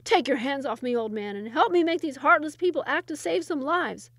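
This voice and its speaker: A pompous sultan brought low, put on by a woman, begging and protesting in a strained, lifted voice. The delivery is dry and close, with no room around it. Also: fast, no echo, close to an everyday voice. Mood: indignant. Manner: pleading, indignant. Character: pompous, desperate male sultan, middle-aged.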